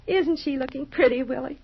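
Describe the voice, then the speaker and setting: A woman's voice: sits high, exaggerated voice. A woman playing a nosy, chattering, easily offended married sister in a 1950s radio soap opera, folksy and fussy. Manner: gushing, folksy, ungrammatical, fussy. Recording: muffled vintage radio recording.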